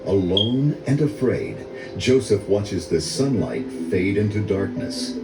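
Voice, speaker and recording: An older man reading a children's story in a measured, dramatic storytelling manner. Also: lowish voice, lively intonation. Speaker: read-along story narrator. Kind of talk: scripted children's story narration. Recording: roomy echo, music under voice. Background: orchestral music.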